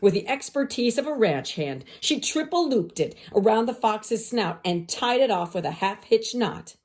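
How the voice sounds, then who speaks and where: A woman teacher narrating a children's story aloud, expressive and carefully phrased for young listeners. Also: a little high, moderate pace.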